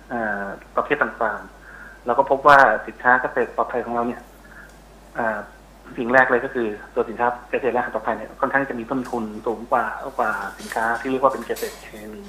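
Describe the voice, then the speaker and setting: A man's voice: medium pitch, fairly even intonation, brisk, bright, forceful tone, slight background noise, low-quality recording. A middle-aged man explaining as an expert guest in a phone interview, often searching for words. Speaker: interview guest, university researcher. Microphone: telephone call.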